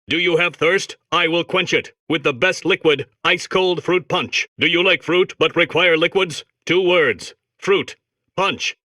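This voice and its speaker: A proud stubborn drink robot for a comedy game, highish sharp voice, very emphatic. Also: male voice, no room sound, clean studio sound. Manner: emphatic, declarative. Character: boastful, stubborn fruit punch dispenser robot. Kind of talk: comedic video game character acting.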